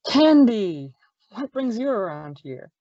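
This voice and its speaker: A woman's voice: on the high side, big pitch swings, takes it slowly, dark tone, dry recording, heavily acted. A young woman playing an easygoing, teasing male homicide inspector with a casual manner, in old-time radio style. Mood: casual, familiar. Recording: dull lo-fi audio, very dry.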